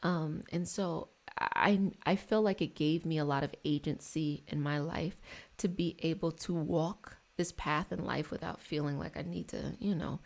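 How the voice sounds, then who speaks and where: A woman's voice: low-pitched, creaky, darkish tone. An American woman chatting casually on a livestream, explaining and reflecting in an affectionate way with her listeners. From United States, DMV area around Washington DC. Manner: affectionate, addresses listeners as y'all and babies. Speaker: live stream host and spiritual healing practitioner.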